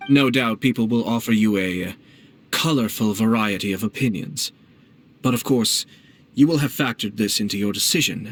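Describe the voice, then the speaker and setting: A man voicing a composed young nobleman and clan head in a video game, speaking formally and politely in measured phrases. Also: on the low side, a bit bright. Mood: reassuring, measured. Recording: noisy ambient game backdrop.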